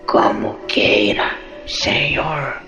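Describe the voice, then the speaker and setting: A man's voice: mid-range voice, extremely slow, roomy sound, music in the background. A man voicing a solemn, obedient winged serpent with formal, deferential speech in an audio fantasy storybook.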